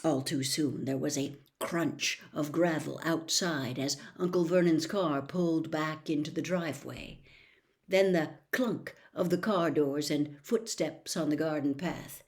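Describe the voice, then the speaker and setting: A woman narrating a story as an audiobook reader, expressive and dramatic, with emphatic phrasing. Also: deep voice, a little slow.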